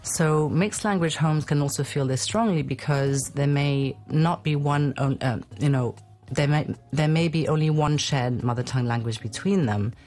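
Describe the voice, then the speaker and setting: A woman's voice: sits low, normal speed, darkish tone, faint music behind. Chatty reassuring woman guest. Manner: chatty and reassuring, with frequent restarts. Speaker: guest speaking on raising bilingual children. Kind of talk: radio talk show conversation.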